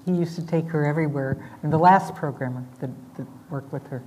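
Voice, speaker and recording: A woman's voice: low voice, a little fast, warm tone, slightly rough, poor audio. A woman talking casually to an audience, sharing an informal recollection at a live event. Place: indoor event venue with audience.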